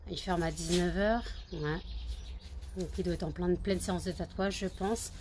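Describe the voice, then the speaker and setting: Rambling woman chatting to camera, low voice. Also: fairly even intonation, normal speed, a little mellow, slight background noise. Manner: rambling, many fillers and repeated words. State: just woken up, groggy. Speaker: vlogger. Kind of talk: casual chat to camera.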